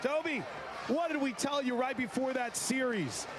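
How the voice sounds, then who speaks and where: A man's voice: extremely high pitch, moderate pace. An American man reporting live from the sideline of a football game in a chatty style. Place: outdoors on a stadium field. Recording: loud stadium noise around him.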